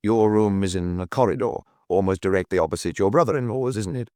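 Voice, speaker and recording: A man as a calm, low-voiced police inspector. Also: no echo, clean studio sound, natural voice. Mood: quietly insistent. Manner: quiet, measured, persistent. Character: calm, probing male detective chief inspector.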